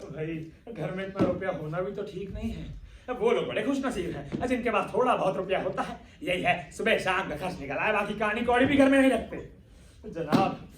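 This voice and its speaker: Man playing high-pitched miserly old father, echoey stage. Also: moderate pace, lightly acted. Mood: secretive, panicked. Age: young adult. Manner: blustering, wheedling, exclamatory. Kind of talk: theatrical Hindi stage play acting. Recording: distant, echoey, noisy live stage recording.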